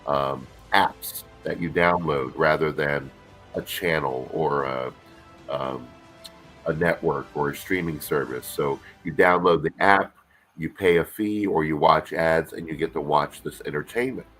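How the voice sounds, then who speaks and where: A middle-aged man, an actor guest with a deep voice, talking casually in an interview with lots of fillers and restarts. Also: measured pace, soft background music. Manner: relaxed, rambling, many fillers and restarts.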